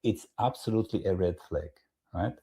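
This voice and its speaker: A man giving spontaneous interview commentary as a guest analyst, halting and repeating his words. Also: low voice, mellow.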